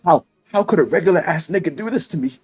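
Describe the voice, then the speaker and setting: A man's voice: a little high, animated, fast, a little mellow, slightly rough, faint music behind, pitched above the performer's own voice. Man putting on an arrogant, indignant beast fighter. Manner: boastful, slangy, indignant. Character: arrogant, easily angered ancient male beast fighter.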